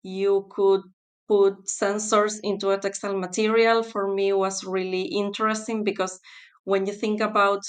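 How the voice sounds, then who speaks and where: Woman on a podcast, smooth clear voice, thinks out loud with lots of ums. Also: mid-range voice, level tone. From Argentina. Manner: thoughtful, with fillers and pauses. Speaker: guest, textile specialist. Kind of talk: podcast interview.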